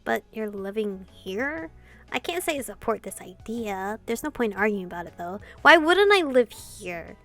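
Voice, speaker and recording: A woman doing casual, expressive gameplay commentary, reading visual novel dialogue aloud and adding sweary asides. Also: mid-range voice, normal speed. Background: game music.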